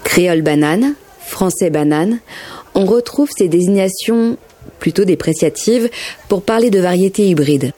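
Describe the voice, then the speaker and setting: A woman hosting a podcast, reading scripted narration in a measured, clear way. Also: lowish voice, lively intonation, dark tone. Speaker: podcast host. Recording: narration over music.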